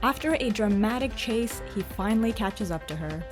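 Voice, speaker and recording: A woman's voice: medium pitch, animated, normal speed. A woman narrating a scripted countdown with dramatic storytelling delivery, as the host of the video. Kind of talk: scripted narration about cartoons. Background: music behind the voice.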